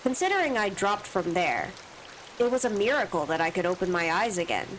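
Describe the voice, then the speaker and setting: A woman's voice: medium pitch, very animated, brisk, a little hiss or hum, poor audio. A woman streamer with allergy sniffles, reading a visual novel's first-person text aloud with asides of her own. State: stuffy from allergies.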